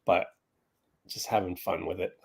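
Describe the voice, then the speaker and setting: A man with a lowish voice hosts a relaxed livestream, chatting offhandedly. He often sounds half-busy, as if handling things on screen while he talks. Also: moderate pace, creaky, husky. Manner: offhand, juggling tasks while talking. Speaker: livestream host. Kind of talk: casual live-stream chat.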